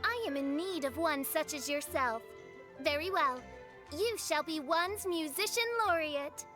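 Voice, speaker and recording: A woman's voice: unhurried, sharp tone. A woman playing a grandiose, solemn teenage princess in a video game, speaking in pompous archaic phrases, with music underneath. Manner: pompous, archaic high-flown speech.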